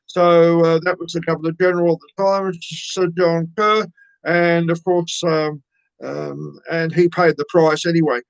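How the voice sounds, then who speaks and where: A man's voice: a little high, level tone, creaky, smooth, no room sound, low-quality recording. An older Australian man presenting a radio show, chatting casually between songs with lots of ums and uhs. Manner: halting, many ums and uhs.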